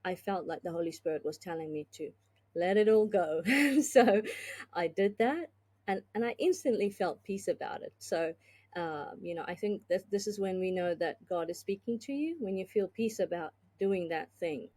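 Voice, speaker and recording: A woman's voice: lowish voice, expressive, moderate pace, darkish tone. A woman from South Africa, with a South African accent touched by Australian, talking earnestly and reflectively as a podcast guest, with many fillers and restarts. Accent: South African with some Australian. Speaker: podcast guest, tech executive. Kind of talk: conversational interview.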